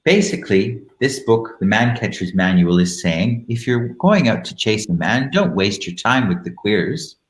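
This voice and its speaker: A man hosting a live online show in a camp, theatrical manner, moving between casual chat and dramatic reading with deliberate phrasing. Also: on the low side, expressive. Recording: roomy, echoing live-stream sound.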